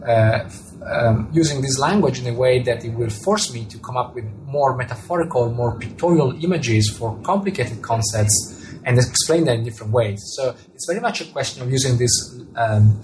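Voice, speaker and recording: Italian guy, author in an interview, stops and restarts a lot, mid voice, bit of hiss behind. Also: mid-range voice. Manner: halting, many repeated words and restarts. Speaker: author and astronomer. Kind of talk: interview answers.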